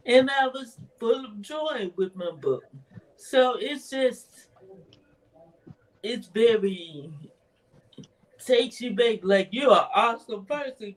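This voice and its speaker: A woman with a soft voice, speaking hesitantly in a casual interview about her own writing, with frequent laughter. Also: mid-range voice. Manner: hesitant, rambling, laughs often. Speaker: interviewee, author. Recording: voice from far off the mic.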